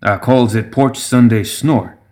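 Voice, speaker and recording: An ancient gremlin brewmaster, acted out by a male narrator, gruff and proud. He talks slowly in a folksy dialect, like a backwoods moonshiner in a fantasy tale. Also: mid-range voice, darkish tone, natural voice. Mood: folksy pride.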